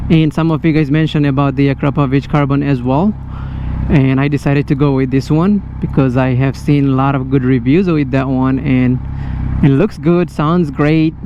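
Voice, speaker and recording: A man with a Bangladeshi accent chats casually and enthusiastically to his viewers as he rides, often repeating himself. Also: a little high. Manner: enthusiastic, repetitive, conversational. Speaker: motorcycle vlogger, host. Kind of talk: casual chat to viewers while riding. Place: outdoors, riding a motorcycle on the road. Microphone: helmet-mounted mic. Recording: muffled helmet mic, loud motorcycle engine noise. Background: motorcycle exhaust and traffic noise.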